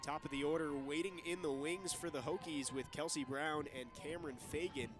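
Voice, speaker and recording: A young man doing live softball play-by-play as the lead commentator, in clipped, present-tense calls. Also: fairly high pitch, brisk. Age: young adult.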